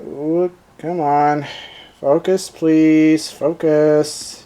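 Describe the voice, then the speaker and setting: A man's voice: on the high side, little pitch movement, drawn-out words, mellow, clean tone. A man whose first language is German, speaking English with a German accent while unboxing and reviewing a handheld, thinking aloud with many fillers. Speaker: gadget reviewer.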